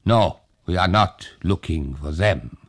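A man's voice: deep voice, takes it slowly, no echo, clean studio sound. A man voicing a brusque foreign cavalry officer who speaks in short, broken phrases. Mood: grave.

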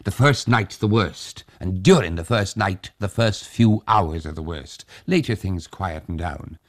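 A man voicing a fussy, anxious old village grandfather who is pleading and given to loud, excitable bursts. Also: deep voice, unhurried. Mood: reassuring. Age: older.

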